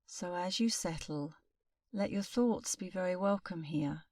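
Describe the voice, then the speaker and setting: Slow, low-voiced woman guiding meditation. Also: no echo, distant mic. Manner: calm, soothing, unhurried with long pauses. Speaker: meditation instructor. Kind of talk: guided meditation.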